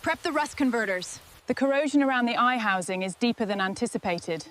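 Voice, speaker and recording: A woman's voice: a little high, brisk, a bit bright, a little hiss or hum, natural voice. A woman playing the commanding boss of a machinery repair crew, giving businesslike instructions in a scripted workshop scene. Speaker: repair team leader.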